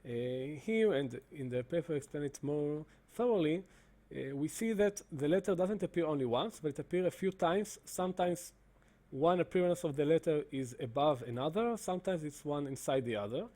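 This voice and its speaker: Halting man with a mellow voice. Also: a little high, expressive. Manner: halting, many fillers and restarts. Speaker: presenter demonstrating software. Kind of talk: screen-recorded explanation.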